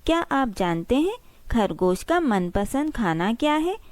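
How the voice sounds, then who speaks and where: A woman's voice: fairly high pitch, very animated, a little slow, a little mellow, no echo. A woman narrating a scripted story for children.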